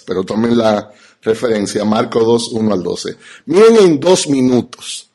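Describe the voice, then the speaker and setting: Husky low-voiced Dominican pastor. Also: male voice, darkish tone. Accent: Dominican Spanish. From Dominican Republic. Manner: emphatic, rhetorical questions, deliberate pauses. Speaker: pastor teaching at a conference. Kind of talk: teaching sermon to an audience.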